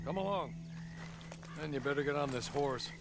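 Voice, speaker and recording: An actor playing a suave, composed middle-aged gentleman gunslinger in a video game, speaking with polite, measured formality. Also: fairly high pitch, over music, lightly acted. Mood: calm, measured. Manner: polite, measured, formal, courteous even in threats. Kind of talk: video game cutscene acting.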